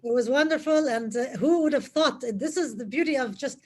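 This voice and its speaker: A woman's voice: sits high, a little fast, a little mellow. A woman hosting a live online interview over a video call, upbeat and enthusiastic, often repeating phrases. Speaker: host.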